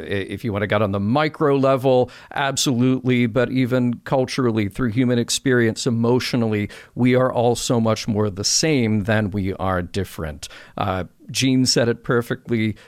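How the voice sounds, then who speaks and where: A man gives conversational commentary as a podcast guest. He sounds earnest and repeats words as he thinks, in a middle pitch with a dry, close sound. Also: normal speed, hardly any pauses, no echo.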